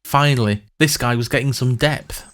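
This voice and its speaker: A man's voice: medium pitch, normal speed, fairly bright tone, slight background noise. A man narrating a scripted comic fantasy podcast in a wry, sardonic, wordy manner.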